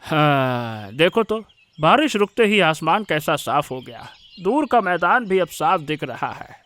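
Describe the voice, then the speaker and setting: A welcoming older village father acted out by a man in a cartoon story. His high-pitched voice is hearty and encouraging, set over background music. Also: sing-song, measured pace, fairly bright tone. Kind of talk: Hindi cartoon story dialogue.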